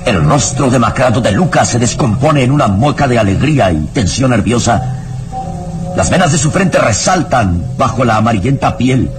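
A man's voice: medium pitch, natural voice. A man narrating a horror adventure radio serial in a grave, theatrical, ominous style. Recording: radio drama with background music. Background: dramatic music.

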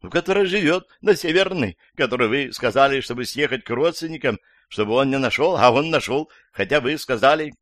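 A man's voice: mid-range voice, lively intonation, fairly quick, hardly any pauses, a bit bright, no room sound, clean studio sound, pitched above the performer's own voice, lightly acted. A man voicing a frightened, frantic elderly woman in an audiobook, crying out in panic. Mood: frantic pleading. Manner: panicky, breathless rattling outcries.

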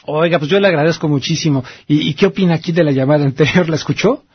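A man's voice: a little high, quick pace, breathy edge, dry recording, lo-fi sound. A man with a Mexican accent hosting a radio call-in show, talking in a casual, colloquial, rambling and reflective way. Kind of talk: casual conversational radio commentary.

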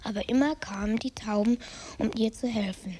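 A child with a breathy, whispery voice retelling a fairy tale haltingly from memory on a hissy tape. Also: medium pitch, a little mellow. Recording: hissy cassette tape with clicks.

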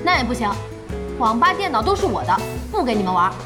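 A big-hearted, bossy cafe proprietress in a radio drama. Her high voice swings widely as she frets and scolds in exasperation. Music runs behind her. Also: big pitch swings, quick pace. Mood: exasperated. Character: bossy, fretting, big-hearted young female internet cafe boss. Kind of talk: Chinese audio drama acting.

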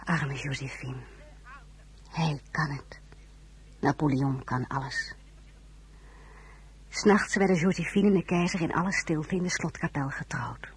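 A woman playing a pensive young narrator of her own memories, intimate and reflective, with a breathy husky voice. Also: low voice, unhurried, long pauses, full character voice. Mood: pensive, pitying. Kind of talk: scripted first-person narration.

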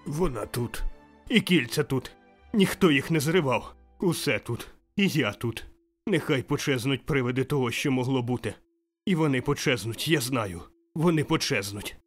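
A man's voice: medium pitch, very animated, brisk, soft background music, pitched above the performer's own voice. A man voicing an old miser turned overjoyed and giddy, exclaiming breathlessly in an audiobook. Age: older. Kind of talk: audiobook character voice.

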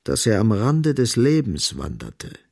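An actor as the grave, ironic narrator of a literary radio play, deliberate and insistent, with a husky, creaky voice. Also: male voice, medium pitch, wildly swooping pitch, a little mellow, no room sound, clean studio sound, full character voice. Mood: grave, brooding.

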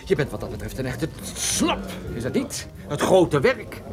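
An actor in a radio play playing a tough, persistent private detective who asks dry, pointed questions. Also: male voice, a little high, wildly swooping pitch, normal speed, over loud music. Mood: needling.

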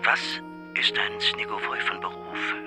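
Actor as slow, evasive, breathy man. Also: fairly low pitch, steady tone, music in the background, lightly acted. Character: evasive, prying male biologist friend, uneasy. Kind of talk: German radio drama acting.

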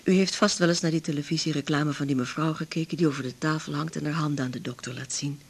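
Husky, creaky-voiced woman patient. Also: low voice, fairly even intonation, fast talker. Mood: earnest, plaintive. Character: earnest, troubled patient describing a complaint. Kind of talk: radio drama acting.

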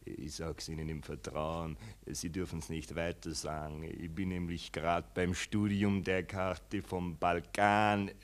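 Slow, dithering Austrian general. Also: male voice, deep voice, level tone, a little hiss or hum. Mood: flustered, feigning reluctance. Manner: hesitant, stammering, condescending. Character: vain, dithering old Austrian army general. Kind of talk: 1940s German radio play acting.

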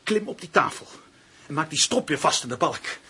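Bitter, vengeful man, wildly swinging pitch. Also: expressive, moderate pace, husky, heavily acted. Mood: cold menace. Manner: theatrical, intense monologue swinging from hushed to shouting. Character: bitter, vengeful male writer turned murderous, domineered by partner. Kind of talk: Dutch radio play acting. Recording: noisy old radio play recording.

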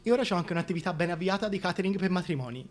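A gossipy Tuscan single mother played by a man tells her story in a comic radio play. The voice sits fairly high. She sounds like a shameless, scheming opportunist chatting in plain everyday speech. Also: expressive, rapid-fire. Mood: smug, breezy. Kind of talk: comic radio theatre monologue.

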